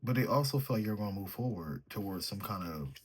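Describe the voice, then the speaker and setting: A man with a mellow, sleepy way of talking, reading tarot cards and thinking aloud as he draws them. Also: lowish voice, animated, fast talker, slightly rough. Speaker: tarot reader. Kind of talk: solo tarot reading, casual chat.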